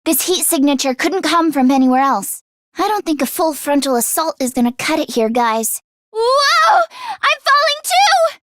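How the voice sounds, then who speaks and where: Game casting: girlish teen mechanic, very high-pitched, anxious yet determined, expressive, dry studio sound. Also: normal speed, no room sound. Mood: alert, then panicked screaming. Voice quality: youthful, girlish. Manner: expressive, emphatic, exclamatory. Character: excitable teenage girl mechanic, anxious but determined. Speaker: video game voice actress. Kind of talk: animated video game character acting.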